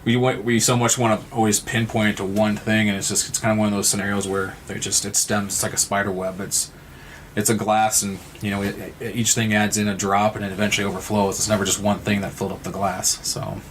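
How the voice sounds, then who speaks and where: A man with a fairly low voice gives conversational interview answers. He starts, stops and repeats words as he works toward his point. Faint noise and room ambience sit behind him. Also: little pitch movement, fairly bright tone. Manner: halting, repeats words and restarts. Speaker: guest from a suicide-loss nonprofit.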